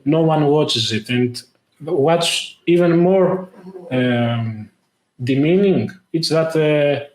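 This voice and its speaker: A man talks casually as a guest, stopping often to hunt for words. His voice sits a little high for a man. The sound bounces around an echoey room. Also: a little mellow. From Greece. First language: Greek. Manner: halting, lots of "uh" fillers. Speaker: guest, tech YouTuber. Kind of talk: casual interview talk.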